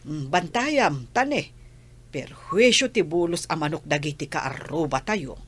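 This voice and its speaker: Woman as bossy village auntie, animated, slightly low voice, Ilocano accent, fussing, radio drama. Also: unhurried, a little hiss or hum. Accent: Filipino, Ilocano.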